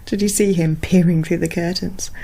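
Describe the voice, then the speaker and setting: An actress in a radio drama playing a suspicious young wife, dry, blunt and sardonic. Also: female voice, on the low side, steady tone, moderate pace, creaky, warm tone. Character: sharp-tongued, suspicious young wife, new in town. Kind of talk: naturalistic radio drama acting.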